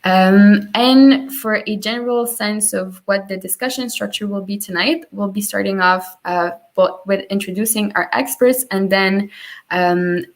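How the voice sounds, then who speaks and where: A woman's voice: medium pitch, measured pace. A woman from Colombia in her twenties, hosting an online panel over a video call, with many fillers and restarts. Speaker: panel moderator.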